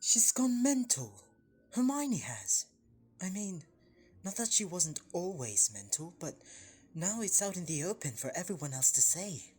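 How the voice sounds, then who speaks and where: A blunt, grumbling schoolboy, acted by a woman for an audio drama. The voice is fairly low for a woman and swings with very lively intonation. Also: measured pace. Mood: exasperated. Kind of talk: fan audio drama acting.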